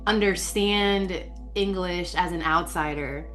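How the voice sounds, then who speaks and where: A woman guest with a doctorate, speaking in an articulate, reflective way with quick bursts of speech. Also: lowish voice, fairly bright tone, faint music behind. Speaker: podcast guest, leadership coach with a doctorate. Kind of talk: reflective interview talk.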